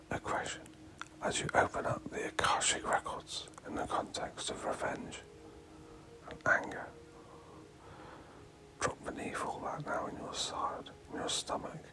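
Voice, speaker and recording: A man guiding a meditation in a breathy whisper, speaking in short, hypnotic phrases with pauses and repeated words. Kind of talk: guided meditation, hypnotic and repetitive.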